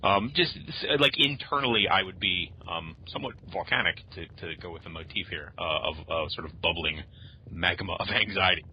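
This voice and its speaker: A man chatting casually as an interview guest, joking wryly and haltingly. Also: low-pitched. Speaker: guest author. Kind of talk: casual humorous interview chat. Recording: dull lo-fi audio, faint noise.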